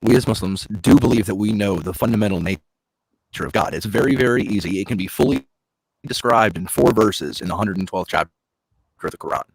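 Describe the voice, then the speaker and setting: Gravelly, low-voiced man explaining calmly. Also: a little fast, no room sound, rough recording. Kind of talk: call-in debate.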